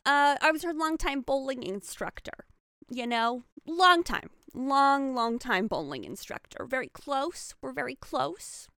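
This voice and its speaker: A woman's voice: high-pitched, animated, unhurried, pushed and bright, clear, resonant tone, dry recording, studio quality, exaggerated voice. Woman playing a flustered male bowling instructor. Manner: hesitant, stammering, evasive.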